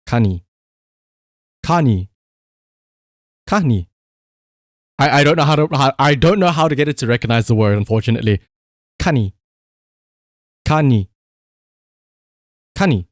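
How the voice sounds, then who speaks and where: A man's voice: medium pitch, no echo, clean studio sound. A man doing casual live game-stream commentary, swearing freely and repeating short command words.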